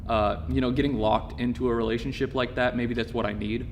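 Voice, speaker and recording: A man in his twenties giving a deadpan, joking audition introduction for a dating show. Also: medium pitch, brisk, long reverb tail, slight background noise. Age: 20s. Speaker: mock dating show applicant. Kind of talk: audition tape.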